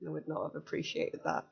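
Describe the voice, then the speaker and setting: A young woman in her twenties, slightly croaky, chatting casually and giggling as she walks viewers through a hacking exercise on a live stream. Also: sits low, a little mellow, dull audio. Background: faint music.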